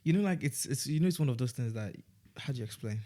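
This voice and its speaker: A man's voice: mid-range voice, lively intonation, quick pace, warm tone. A young man from Nigeria with a Nigerian accent, a student guest on a podcast, talking casually and rambling with many restarts. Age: young adult. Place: podcast studio.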